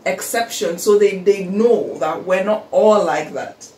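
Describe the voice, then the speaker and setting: A woman's voice: medium pitch, measured pace, mellow, recorded from a distance. A young woman from Australia with an Australian accent, talking casually and animatedly as co-host, with lots of fillers. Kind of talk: casual conversation. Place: room in England. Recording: echoey room, voice off-mic, faint background hiss.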